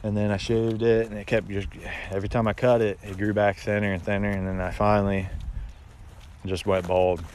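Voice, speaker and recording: Deep-voiced American guy chatting outdoors. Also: little pitch movement, a little fast, a little mellow. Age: 30s. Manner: laid-back, rambling, mild swearing. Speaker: livestreamer. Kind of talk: casual livestream chat. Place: outdoors in open rural countryside. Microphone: phone at arm's length. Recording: outdoor phone recording with ambient noise.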